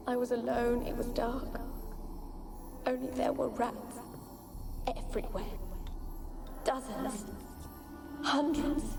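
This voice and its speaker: A woman's voice: high-pitched, creaky, full character voice. Ghostly young noblewoman, game character, sorrowful, evasive, archaic speech, distant, reverberant. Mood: haunted, grieving. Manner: archaic, plaintive phrasing. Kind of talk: dramatic video game acting. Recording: echoing, distant ghostly voice over music.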